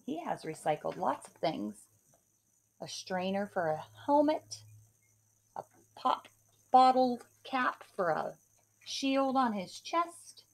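A woman in her forties, a teacher, reading a rhyming picture book aloud in a sing-song, expressive way at home into a webcam. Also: on the high side, takes it slowly, vocal fry, pauses often. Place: home living room.

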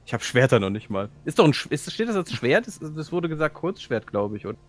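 A man talks casually as a tabletop role-player. His voice sits at an average pitch, and faint music plays behind him. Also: sing-song, quick pace. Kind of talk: casual game chat.

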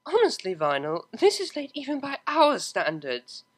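A prim young mare cellist with a high, lively voice that leaps around in pitch, put on by a woman reading a storybook. She speaks in formal, precise phrasing, proper and a little fussy. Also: normal speed, full character voice. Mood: wry.

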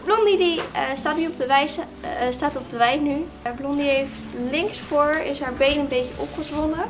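A woman's voice: high-pitched, moderate pace, background noise. A young woman chatting casually for a horse vlog, with lots of fillers, filmed on a handheld camera. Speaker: vlogger and rider. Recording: music playing loudly behind.

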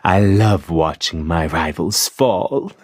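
A man's voice: low voice, slow, loud music behind the voice. A man playing a power-hungry, scheming villain in a game, gloating and theatrical. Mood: smug, gloating. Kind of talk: game character voice acting.

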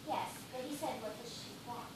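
A woman's voice: on the high side, moderate pace, far from the mic, natural voice. Meek secretary played by a woman, very noisy recording. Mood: careful, explaining. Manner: hesitant, deferential, polite. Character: meek, apologetic, dutiful female secretary. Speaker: stage actor. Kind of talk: live stage play acting. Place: indoor theatre stage. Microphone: distant audience camera. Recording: very noisy, echoey theatre recording from afar.

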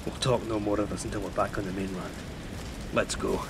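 Gravelly, commanding police rescuer. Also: male voice, on the low side, level tone, brisk, heavy background noise. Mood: uneasy, decisive. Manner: urgent, commanding. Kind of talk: audio drama acting. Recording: loud music and rain behind.

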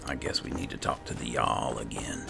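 A man with a gravelly voice giving casual roleplay commentary as he plays a video game. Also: extremely low pitch, flat delivery, fairly quick, over music. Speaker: gamer, roleplaying host.

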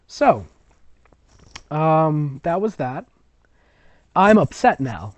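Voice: medium pitch, big pitch swings, very slow, dry recording. A sarcastic, exasperated reader narrating amateur fiction and breaking off to mock it with commentary. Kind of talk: mocking story reading with ad-libbed commentary. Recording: dull, lo-fi close recording.

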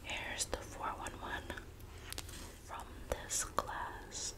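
Girly asmr roleplay woman, whispers real slow, soft and gossipy like a classmate in school. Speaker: ASMR performer.

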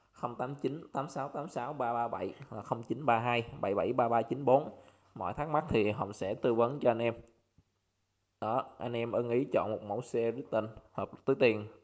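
Southern Vietnamese man, casual motorbike sales pitch. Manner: informal, addresses viewers as buddies with frequent friendly tags. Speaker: motorcycle dealer presenting bikes for sale. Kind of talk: casual sales walkaround narration.

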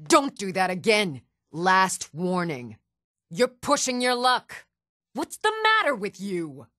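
An actress playing an earnest, dutiful woman of a fantasy realm in a video game, speaking in a measured, composed and formal way. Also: mid-range voice, very animated, bright, forceful tone, dry recording, studio quality, heavily acted. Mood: alarmed, warning.

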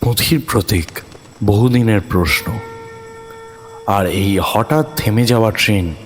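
A man narrating a horror thriller audio story in a dramatic, suspenseful way with deliberate pauses. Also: fairly low pitch, faint music behind.

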